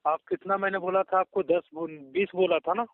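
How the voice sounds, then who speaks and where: A man's voice: high-pitched, fairly even intonation, moderate pace, dry recording. Pushy man on a tinny phone line. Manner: pushy, curt, insistent. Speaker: caller soliciting payment for a job listing. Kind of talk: phone conversation. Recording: tinny, narrowband phone call recording.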